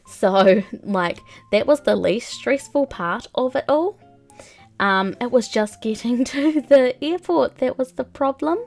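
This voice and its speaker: A woman's voice: a little high, expressive, slow, creaky, a little mellow, faint music behind. A young woman, chatting casually on a live stream while she plays a game. She rambles, with lots of ums and likes, and sounds a bit sniffly from a cold. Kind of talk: casual chat while playing a video game.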